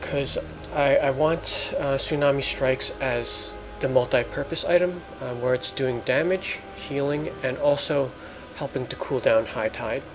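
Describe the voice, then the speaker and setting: A man giving halting, explanatory let's play commentary as host of a gaming video. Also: medium pitch, music in the background.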